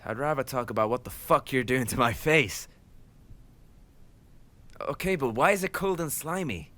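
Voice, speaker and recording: A man playing a tough but soft-hearted gang leader boyfriend in an intimate romantic audio roleplay, affectionate and playfully teasing, with mock complaints. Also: medium pitch, exaggerated voice. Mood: playful grumbling.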